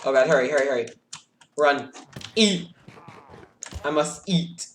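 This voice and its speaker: A man streaming a game live, reacting excitably with yelps and shouts, keyboard clicking behind him. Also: a little high, measured pace, reverberant, a little hiss or hum. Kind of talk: live gameplay commentary. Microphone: desk mic with audible keyboard.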